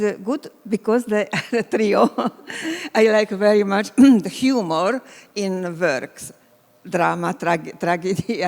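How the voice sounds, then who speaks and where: A composer from former Yugoslavia, a woman whose first language is Hungarian, speaking halting English with a Hungarian accent and searching for words. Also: medium pitch, a little mellow. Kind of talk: hesitant panel talk. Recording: reverberant hall.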